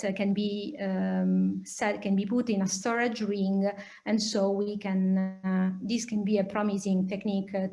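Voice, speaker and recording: Italian-accented woman lecturing, halting delivery. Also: medium pitch, a little mellow. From Italy. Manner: hesitant, halting explanations with many pauses. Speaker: lecturer, nuclear physicist. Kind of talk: academic lecture and question answering.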